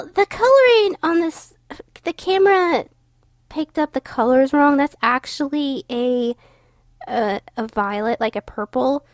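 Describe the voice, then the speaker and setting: A woman's voice: on the high side, no room sound, studio quality. Stuffy-sounding young woman chatting over art. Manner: rambling, many fillers and restarts. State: tired, stuffy voice. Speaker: YouTuber narrating her drawing. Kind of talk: casual voice-over commentary.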